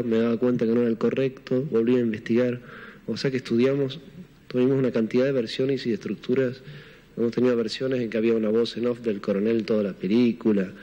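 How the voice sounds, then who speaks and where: A film director answering at a press conference in a measured, reflective way. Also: male voice, medium pitch, dark tone, rough recording.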